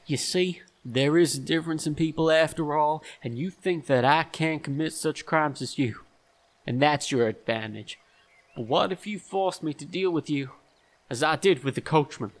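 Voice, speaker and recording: An actor playing a stern, shrewd, self-righteous man in an audio stage drama, speaking in a deliberate, accusatory and emphatic way. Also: on the high side, close to an everyday voice. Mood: proud, menacing.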